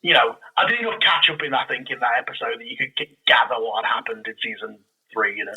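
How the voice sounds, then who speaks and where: A man talking casually and enthusiastically as a podcast guest, explaining things in an animated, rambling way. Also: mid-range voice, fast, breathy edge, poor audio. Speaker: podcast guest, audio drama creator. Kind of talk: casual, enthusiastic fan chat.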